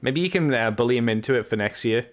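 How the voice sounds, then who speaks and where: A man's voice: mid-range voice, lively intonation, quick pace. A man hosts a loose podcast chat with a mid-range voice. He jokes and teases his guest, and he swears freely as he goes. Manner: joking, swears freely, teasing. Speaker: podcast host. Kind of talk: casual chat.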